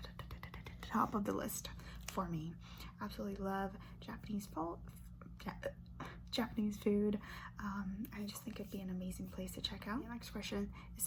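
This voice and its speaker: Whispering young woman, close mic, humming noise. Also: mid-range voice. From Brazil. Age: 20s. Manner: soft whispering, echoing words over and over as triggers; chatty, filler-heavy, with light laughs. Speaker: ASMR creator. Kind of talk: whispered ASMR Q&A. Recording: noisy hum under voice.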